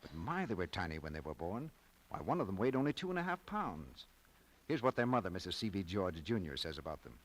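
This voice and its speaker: A male announcer from 1940s radio, reading scripted copy. His voice is fairly low with lively, wide-ranging intonation. It sounds like an old broadcast recording. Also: fairly quick.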